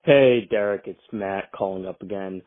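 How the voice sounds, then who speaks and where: A man with a fairly low voice complains in frustration through a thin phone line. He leaves his message on a show's voicemail. The sound is tinny and narrow. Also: normal speed. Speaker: repeat caller leaving a voicemail.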